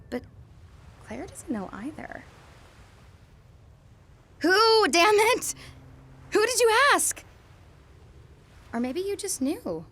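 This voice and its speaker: A young woman playing a lovestruck, self-doubting high school girl in an audio drama, with an emotional, searching delivery. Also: a bit bright, heavily acted. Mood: exasperated.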